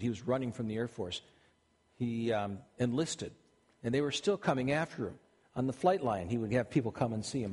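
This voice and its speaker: An older man with a Minnesotan accent preaches in a conversational way, often starting a sentence over. His voice sits a little high for a man. A long reverb trails each phrase, as in a large hall. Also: darkish tone. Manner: conversational, with frequent restarts. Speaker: pastor. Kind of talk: sermon.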